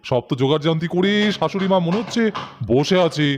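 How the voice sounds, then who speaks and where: A man's voice: on the high side, fast, a little mellow, a little hiss or hum, close to an everyday voice. A man voicing a cheerful young son-in-law doll in a cartoon story, chatty and breezy. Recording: music behind the voice.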